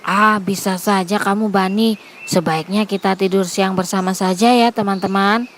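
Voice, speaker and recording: A sly wolf in a children's fable, voiced by a woman, speaking politely and coaxingly at a medium pitch. Clear background noise with birds and insects runs behind the voice. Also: fairly even intonation, moderate pace, close to an everyday voice. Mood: falsely friendly. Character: sly wolf feigning a polite, sleepy friend.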